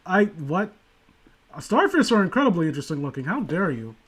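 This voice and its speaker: A man with a voice pitched a little high, chatting casually as a comedic co-host. He rambles sardonically and swears freely. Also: big pitch swings, fairly quick, a bit bright, breathy edge. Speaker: podcast co-host reading and mocking amateur horror stories.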